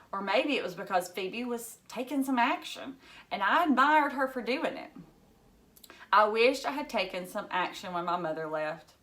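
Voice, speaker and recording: A woman teacher reading a story aloud to children in an expressive way, emphasizing key words. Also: mid-range voice.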